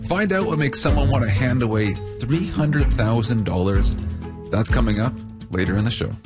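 A man's voice: medium pitch, lively intonation, normal speed, over loud music. A man hosting a radio show, talking in a candid, musing way. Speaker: radio host. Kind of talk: public radio talk.

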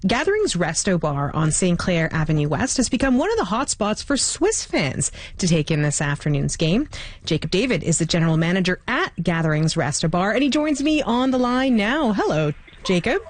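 Woman news anchor, animated pitch, friendly interviewer tone. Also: normal speed, no echo. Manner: warm, lively interviewer, laughs easily. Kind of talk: live news broadcast.

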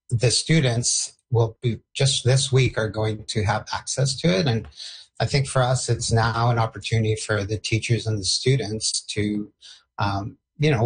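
Husky-voiced man, Portuguese native speaker. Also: lowish voice, rough recording. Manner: hesitant, restarting sentences. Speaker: education leader. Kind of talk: interview answers.

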